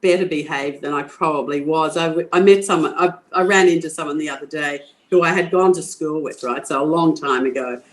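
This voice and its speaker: A woman's voice: deep voice, brisk, hardly any pauses, rough recording. An older woman with an Australian accent from Queensland, a guest in a podcast interview, talking frankly and forthrightly with frequent restarts. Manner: frank, forthright, laughs easily, many restarts.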